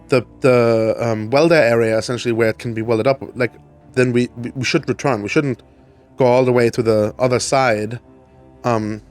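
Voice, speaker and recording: A man from Germany in his thirties talks through a building project in a video game, his voice raspy as he gets over a cold. He thinks aloud, restarting sentences and filling gaps with ums, at a mid pitch. Faint music plays underneath. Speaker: let's play host. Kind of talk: casual gameplay walkthrough.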